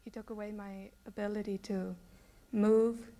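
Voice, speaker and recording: Brief: woman in her 30s raised in Brazil, earnest testimony, level gravelly delivery. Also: medium pitch, level tone, normal speed, mellow. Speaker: former teacher giving a testimony. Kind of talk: personal testimony.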